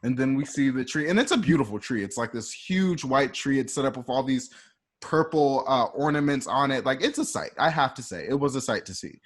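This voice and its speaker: A man's voice: mid-range voice, lively intonation, fairly quick, breathy edge. A man with a conversational, colloquial manner, narrating the action of a radio play in a casual style.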